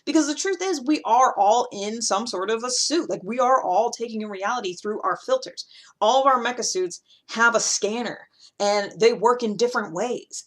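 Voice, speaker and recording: A woman hosting a podcast in a casual, informal monologue, explaining ideas in a rambling, animated way and slipping into imagined replies. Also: fairly high pitch. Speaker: podcast host explaining personality typology.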